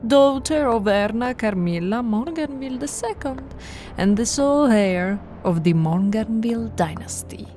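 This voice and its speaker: A woman playing a haughty, possessive immortal vampire aristocrat in an ASMR roleplay, imperious and condescending, theatrical, often dropping into a breathy whisper. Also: fairly high pitch, animated, normal speed, dark tone, faint music behind, natural voice. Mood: imperious, proud.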